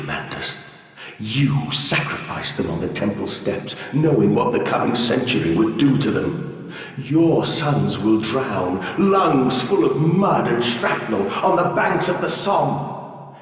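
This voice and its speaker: A sentient machine that believes itself a god, booming from far off in a vast echoing hall. Its oratory is slow and theatrical, swooping widely between coaxing and menacing rants, in the manner of a dark video game villain. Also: male voice, very animated. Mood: ominous, fervent. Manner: theatrical, oratorical delivery, swinging from coaxing to ranting. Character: grandiose sentient machine god, pleading, menacing, male-voiced. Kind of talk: dramatic video game monologue. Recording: cavernous echoing hall, distant voice.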